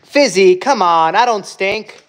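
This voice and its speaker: A man's voice: sits high, animated, slow, fairly bright tone. A young man from Hungary hosting a casual livestream, chatting with viewers and reading out their comments, filmed on a handheld phone in a house under renovation. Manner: chatty, reads viewer comments aloud, says guys often. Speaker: livestream host. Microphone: phone held at arm's length.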